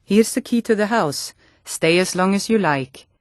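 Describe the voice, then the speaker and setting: A woman's voice: mid-range voice, expressive, normal speed, no room sound, studio quality, lightly acted. A woman playing an earnest, protective Nord townswoman in a fantasy video game, with a plain-spoken, emphatic delivery. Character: earnest, protective Nord townswoman, no longer young, loyal to rebels.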